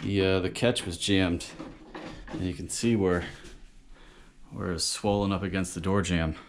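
Casual deep-voiced man filming himself. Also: steady tone, a little slow, slight background noise. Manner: casual, swears freely, many fillers. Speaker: sailing vlogger. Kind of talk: casual vlog narration. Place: inside a damaged sailboat cabin. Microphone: handheld action camera close up.

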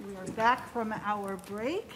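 Slow-speaking woman moderating a hearing. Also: mid-range voice, long reverb tail, noisy background. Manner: slow, deliberate, interrupted by coughs. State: tickle in her throat. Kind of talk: formal introductions.

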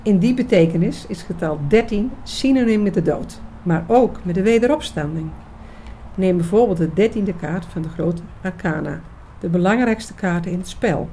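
A woman's voice: on the low side, normal speed, warm tone, slight background noise, close to an everyday voice. A woman playing an eager, knowing researcher in a scripted radio drama, explaining mysteries with emphasis. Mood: intent, intriguing. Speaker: actress in an audio drama.

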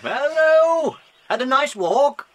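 An actor playing a genial, gently teasing grown-up companion to children in an English audio drama, with an English accent. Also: male voice, very high voice, big pitch swings, slow pace, slight background noise, full character voice. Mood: amused, kindly.

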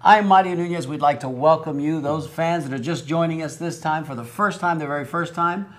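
A man's voice: on the high side, brisk. A middle-aged man hosting a live local TV talk show from a studio desk, talking in an upbeat, folksy way with fillers. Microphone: lapel mic.

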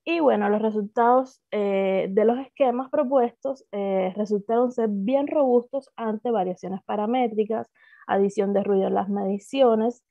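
A woman's voice: mid-range voice, unhurried, gravelly, no echo. A woman in her thirties with a Cuban accent, presenting her doctoral thesis in a hesitant academic talk full of fillers and restarts, over a video call. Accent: Cuban Spanish. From Cuba. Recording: dry, phone-like video call audio.

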